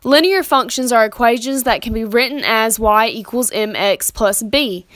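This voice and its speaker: A young woman narrates a scripted lesson in a fairly high voice. Her explaining is plain and methodical, and the sound is dry and close. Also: normal speed, fairly bright tone, no echo. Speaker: student presenter.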